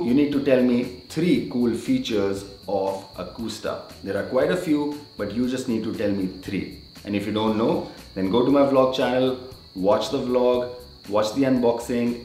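Male vlogger, thirties, low-pitched and chatty, upbeat host delivery, room echo, light music underneath. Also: a little mellow. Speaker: video creator and host. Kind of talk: casual vlog talk to camera, giveaway announcement. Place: indoor room with shelves. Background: faint background music.

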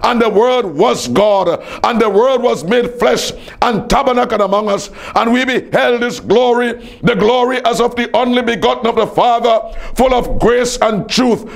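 A man's voice: very high voice, moderate pace, vocal fry, hardly any pauses. A man preaching a fervent evangelistic sermon in an emphatic, declamatory way, repeating phrases for effect.

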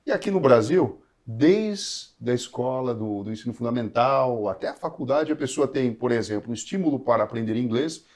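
A man in his forties hosting an interview, asking quick questions and building on his guest's points, on a lapel mic in a small studio. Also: mid-range voice, lively intonation, a little mellow. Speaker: host, doctor. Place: small studio, seated on a sofa.

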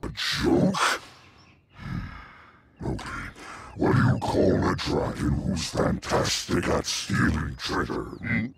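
An actor voicing a huge, menacing dark dragon for a video game, haughty and theatrical, with a growling, roaring delivery. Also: male voice, lively intonation, takes it slowly, slight background noise, exaggerated voice. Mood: mocking, playful. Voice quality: deep, gravelly growling roar. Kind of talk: video game character voice lines.

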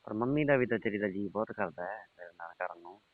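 A man's voice: lowish voice, animated, fast, dry recording. A middle-aged man, having a casual private chat over the telephone. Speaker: uncle on a phone call. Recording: tinny, band-limited phone call recording.

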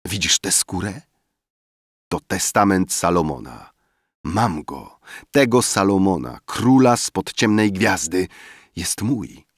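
A drunken young braggart who has just come into money raves about owning the whole world, as a man reads him aloud. His voice climbs high and loud on every boast and swings widely in pitch. The recording is dry and close. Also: big pitch swings, takes it slowly, husky, dry recording, heavily acted. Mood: boastful. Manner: grandiose, raving drunk boasting.

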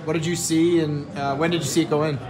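Reporter guy, quick and flat, crowd chatter behind him. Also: on the high side, rough recording. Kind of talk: press questions.

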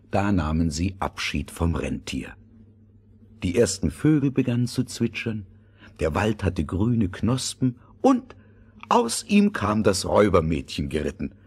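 A man narrating a scripted fairy tale in a measured, expressive storytelling manner. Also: sits low, breathy edge. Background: music.

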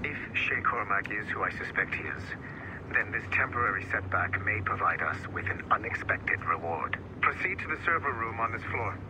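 A man's voice: fairly low pitch, animated, moderate pace, background noise, close to an everyday voice. An actor playing a curt, calculating male corporate executive in a video game. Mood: commanding. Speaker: game character.

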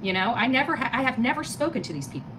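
A woman's voice: fairly high pitch, animated, racing. A middle-aged American woman giving casual livestream commentary and chatting with viewers in an emphatic, indignant, repetitive way. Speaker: live stream host. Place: small living room, indoors. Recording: clearly noisy home phone recording.

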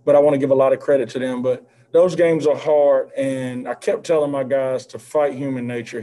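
A man in his thirties, a head basketball coach, answering postgame questions on a video call in an earnest, measured way with many fillers. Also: mid-range voice, warm tone. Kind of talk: postgame press conference answers. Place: indoor press backdrop room. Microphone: video call, seated at desk with mask.

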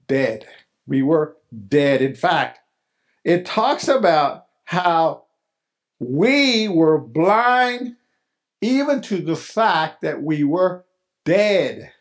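An older male pastor delivers a sermon in a small chapel hall, picked up from a distance. His voice is high for a man and his pace is unhurried. He repeats phrases for weight and builds to pointed questions. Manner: repeats key phrases, rises to emphatic questions.

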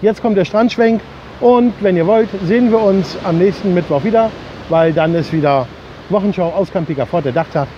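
A man hosts a relaxed, chatty vlog in a voice that sits high for a man. He speaks outdoors into a handheld device. The sound is dull and lo-fi, with faint noise behind him. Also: normal speed. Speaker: vlog host. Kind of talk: casual vlog chat to viewers. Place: outdoors on a beach. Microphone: phone or camera at arm's length.

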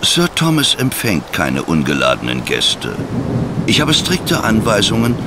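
A haughty, stern butler of an old manor in a radio drama. His very low voice swoops dramatically and creaks, stiff and formal, over clear background noise. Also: huge pitch swings, measured pace. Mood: cold, dismissive. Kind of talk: radio play acting.

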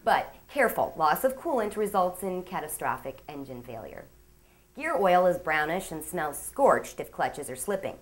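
A woman hosts a boating show in an upbeat, scripted read. Her voice sits on the low side, and her pitch swings widely. She slips in playful asides between segments. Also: sing-song, moderate pace. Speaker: show host. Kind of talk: scripted magazine-show narration.

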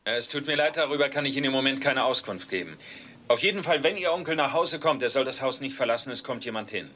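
A man playing a brisk police assistant in a radio crime play, businesslike and formal. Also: medium pitch, animated, sharp tone, slight background noise. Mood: formal, firm.

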